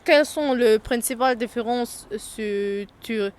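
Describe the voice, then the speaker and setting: A woman interviewing her young cousin, reading prepared questions in a slow, halting way and sometimes restarting words. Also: on the high side, clear, resonant tone. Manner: slow, halting delivery with restarts mid-word.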